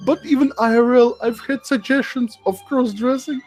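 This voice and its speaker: A man with a notably high voice reads aloud in a mock-dramatic streamer style. His delivery is theatrical and giggly, and music plays softly behind him. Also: fairly even intonation, moderate pace. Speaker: streamer reading a social media thread aloud. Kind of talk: mock-dramatic reading with amused asides. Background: background music.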